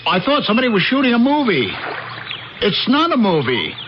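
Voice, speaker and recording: An actor playing a meek, downtrodden worker and henpecked husband in an early eighties radio drama, hesitant and timid. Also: male voice, very high-pitched, huge pitch swings, a little fast, heavily acted. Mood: anxious, alarmed. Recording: muffled, noisy old radio broadcast.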